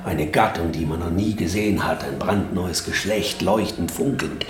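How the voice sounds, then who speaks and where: An actor playing a grandiose, commanding boss in a radio drama, declaiming with pompous, visionary emphasis. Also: male voice, low voice, moderate pace, echoey room, close to an everyday voice. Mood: visionary, insistent.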